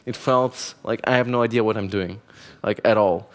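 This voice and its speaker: A man's voice: on the low side, steady tone, normal speed. A middle-aged man moderating a conference panel, chatty and self-deprecating, asking long strings of questions. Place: conference stage.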